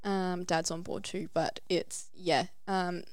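A woman's voice: fairly low pitch, level tone, slow, a little mellow, no room sound, studio quality. A woman who works as a child therapist, chatting casually and often trailing off with ums. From Australia. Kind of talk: casual chat.

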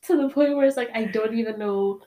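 Woman, twenties, fairly high voice, podcast co-host, casual giggly chat, slangy and full of fillers. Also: fairly quick, warm tone, a little hiss or hum. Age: 20s.

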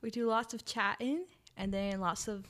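A woman's voice: mid-range voice, fairly even intonation, moderate pace, a bit bright. A woman of nineteen, a college student, chatting casually as a podcast guest. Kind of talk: casual chat.

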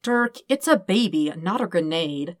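A woman's voice: on the low side, expressive, unhurried, no echo. Woman as dry, exasperated male partner. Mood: dry exasperation. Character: calm, exasperated man, the detective's sensible partner.

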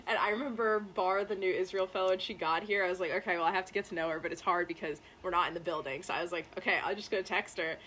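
A woman's voice: on the low side, steady tone, fast talker, hardly any pauses, fairly bright tone, a little hiss or hum. A young college-age woman interviewing a fellow student, chatty and enthusiastic with lots of "like" and "um". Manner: enthusiastic, chatty, many fillers. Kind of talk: casual interview.

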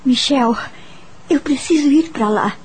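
A woman's voice: high-pitched, measured pace. An actress with a Brazilian accent playing a sorrowful, earnest young governess who tells her story in a radio drama. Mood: sad, anxious. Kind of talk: radio drama acting and narration. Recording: loud music under the voice.

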